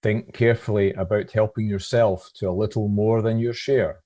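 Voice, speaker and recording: A man's voice: fairly low pitch, steady tone, normal speed, warm tone. A man reading a scripted devotional reflection as one of a church's readers.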